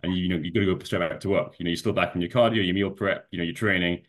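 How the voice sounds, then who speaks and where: A man's voice: sits low, steady tone, rapid-fire. A man from Scotland, a guest on a podcast, talking casually about competing and leaning heavily on "you know" and restarts. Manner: rambling, many fillers like "you know". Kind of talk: casual conversational interview.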